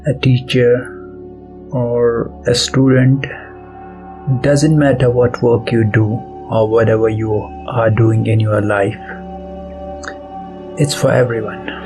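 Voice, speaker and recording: A man leading a guided meditation as its host, speaking softly and deliberately in short phrases with pauses between them. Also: medium pitch. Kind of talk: guided meditation, soft spoken instruction. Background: background music.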